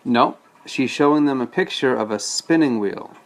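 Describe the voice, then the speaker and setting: A man's voice: medium pitch, moderate pace. A man reading a storybook aloud as a father, patiently breaking off to explain things casually to a child.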